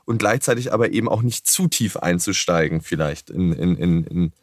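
A man hosting an interview podcast, listening closely with affirming murmurs and asking questions in gently hedged phrasing. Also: low-pitched, expressive, moderate pace, dry recording, studio quality. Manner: attentive, frequent affirming murmurs, hedging phrasing. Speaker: podcast host. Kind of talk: interview conversation.

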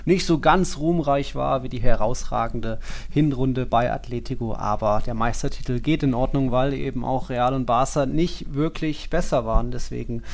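A man co-hosting a football podcast, with a gravelly, fry-laden edge to a middle-pitched voice. He speaks dry and matter-of-fact, laying out his analysis at length. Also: normal speed, few pauses. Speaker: podcast co-host. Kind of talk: casual football podcast chat.

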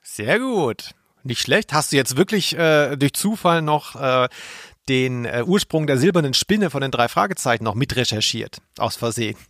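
A man's voice: medium pitch, lively intonation, normal speed, fairly bright tone, no room sound, clean studio sound. A man chatting casually and wryly as a podcast co-host. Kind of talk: casual chat.

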